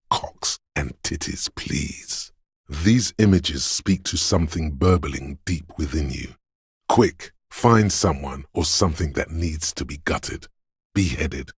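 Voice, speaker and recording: An actor voicing a menacing inner voice of fear and violent aggression in a video game, brooding and theatrical, speaking in the second person. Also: male voice, no echo, studio quality, heavily acted. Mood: savage relish. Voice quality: very deep, gravelly, husky. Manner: brooding, theatrical, deliberate delivery with ominous weight.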